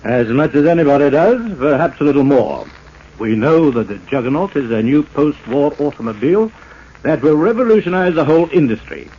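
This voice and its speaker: A man's voice: a little high, lively intonation, a little fast, close to an everyday voice. Cool, pushy crook played by a man, muffled radio. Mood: cool, knowing. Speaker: radio drama actor. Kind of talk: 1940s radio drama acting. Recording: dull, noisy old radio broadcast.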